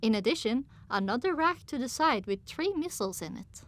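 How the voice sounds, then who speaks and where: A woman narrating a scripted first-person story as an audiobook, with an expressive, dramatic delivery. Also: a little high, brisk.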